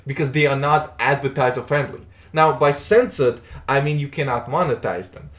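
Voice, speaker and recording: Rambling young streamer. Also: male voice, mid-range voice, normal speed, fairly bright tone. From Latvia. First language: Russian. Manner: rambling, chatty, many restarts. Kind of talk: casual live-stream chat while gaming. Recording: roomy echo.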